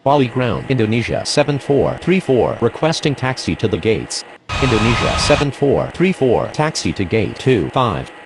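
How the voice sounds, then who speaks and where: A man's voice: medium pitch, very animated, moderate pace, gravelly, noisy background. A man playing a businesslike pilot and controller in a game, speaking clipped radio phraseology. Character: brisk, businesslike male airline pilot and air traffic controller.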